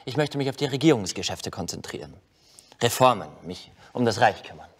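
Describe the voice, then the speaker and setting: An actor playing an earnest, stubborn young crown prince in a historical docudrama, speaking in a solemn, determined way. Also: male voice, medium pitch, lively intonation, brisk, close to an everyday voice. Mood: firm, resistant.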